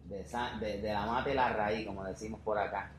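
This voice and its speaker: A man's voice: lowish voice, steady tone, a little fast. A man hosting a podcast interview in a casual, rambling way, often repeating phrases for emphasis. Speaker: podcast host. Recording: roomy echo with faint background noise.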